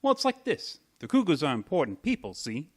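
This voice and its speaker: A man's voice: a little high, huge pitch swings, fairly quick, darkish tone. An actor playing a brash, slangy bodyguard and small-time chiseler in a radio mystery, with cocky wise-guy talk.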